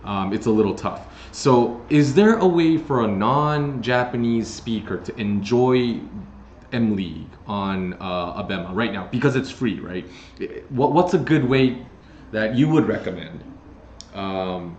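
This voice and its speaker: A man hosts a casual interview in a relaxed way, full of small acknowledgments. His voice has a slightly dull, muffled tone with a roomy echo and faint hiss. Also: fairly low pitch, a little slow. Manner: relaxed, many filler acknowledgments. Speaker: host.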